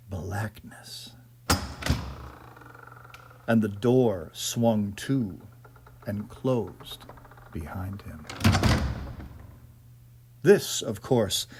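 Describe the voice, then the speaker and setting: Expressive, unhurried male storyteller with swooping pitch. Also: a little hiss or hum. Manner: theatrical, measured storytelling. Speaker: audiobook narrator. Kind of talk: scripted dramatic narration of a ghost story.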